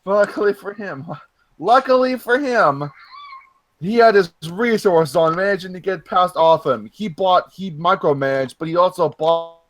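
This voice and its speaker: A man's voice: high voice, a little slow. A man hosting a mocking read-aloud of fan fiction, repeating lines emphatically and dropping in joking asides.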